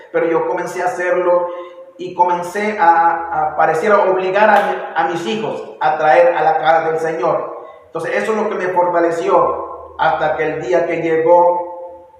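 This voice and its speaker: Panamanian man, 40s, earnest and halting; flat delivery, distant echoey room. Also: fairly high pitch, slight background noise. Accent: Panamanian Spanish. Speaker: church member giving testimony. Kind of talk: personal testimony. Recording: echoey hall, distant mic.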